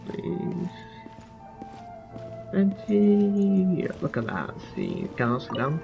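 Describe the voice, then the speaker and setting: Creaky-voiced gamer, rambling offhand. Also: medium pitch, wildly swooping pitch, takes it slowly, phone-quality sound. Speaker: gamer commenting on own playthrough. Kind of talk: casual gameplay commentary. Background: game music.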